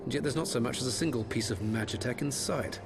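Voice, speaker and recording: A man's voice: mid-range voice, fast, vocal fry, music in the background, close to an everyday voice. An actor playing a haughty, condescending imperial man in a fantasy game, speaking formally with sardonic disdain.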